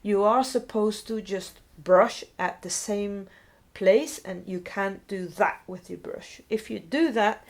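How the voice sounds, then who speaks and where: A middle-aged woman from Belgium speaks as a non-native with a Belgian accent. She explains a hands-on demonstration at a slow, measured pace, in simple, informal phrases. Her pitch sits in the middle for a woman. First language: not English. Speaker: dog groomer presenting a tutorial.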